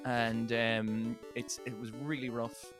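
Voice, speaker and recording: A man's voice: medium pitch, level tone, slow, music in the background. A man co-hosting a podcast playthrough in playful role-play banter, theatrical, silly and sweary. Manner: theatrical, silly, sweary, breaks into sound effects and sing-song. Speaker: podcast co-host and player.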